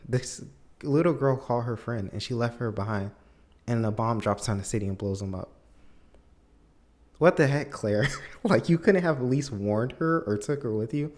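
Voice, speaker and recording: A man's voice: mid-range voice, a little mellow. A man giving a casual, opinionated film review as a video commentator, with an emphatic, incredulous, conversational manner.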